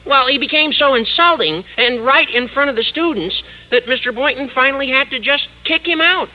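A man acting an excitable, gawky teenage schoolboy in old-time radio comedy, exuberant and exaggerated. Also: very high voice, brisk. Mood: earnest. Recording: muffled old radio recording, audience.

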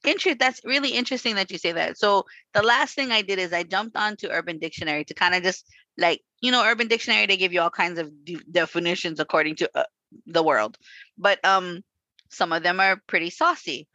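A woman in her forties co-hosting a casual chat, frank and animated, laughing often and swearing freely. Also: on the low side, fairly quick, dry recording, poor audio. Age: 40s. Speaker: podcast co-host.

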